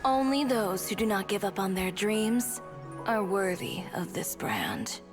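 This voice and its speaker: The voice of a composed, self-assured fashion designer, speaking with cool pride and a dry, teasing edge. Her pitch sits in an ordinary middle range. Music plays clearly behind her. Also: expressive, unhurried. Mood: proud. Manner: confident, composed, dryly teasing. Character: poised proud woman fashion designer. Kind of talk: game dialogue acting.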